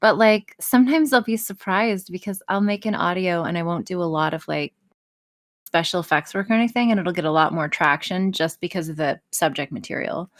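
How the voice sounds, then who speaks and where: A woman's voice: lowish voice, a little fast. A woman audio role-play creator talking casually on a podcast, swearing freely and poking fun at herself. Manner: frequent swearing, self-deprecating.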